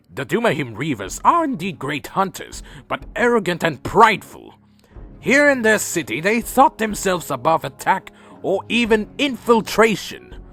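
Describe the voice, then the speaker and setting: A man's voice: fairly high pitch, bright, forceful tone, exaggerated voice. A man playing a proud, troubled vampire leader who narrates his own story in a fantasy audio drama, earnest and dramatic. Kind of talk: first-person scripted narration and audio drama acting.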